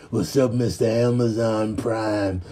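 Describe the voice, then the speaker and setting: A man's voice: lowish voice, fairly even intonation, slow, dark tone, pitched below the performer's own voice. A man voicing a cocky, taunting animal tough guy in a comic video dub, muttering slangy taunts.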